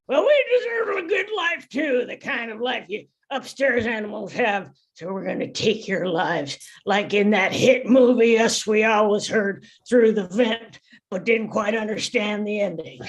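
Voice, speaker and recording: An actor plays a resentful cartoon villain leading a band of cast-off pets. The voice sits at a medium pitch and is declamatory and theatrical, ready to swell into a shout. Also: animated, measured pace. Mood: rambling, smug. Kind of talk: table read of animated comedy script.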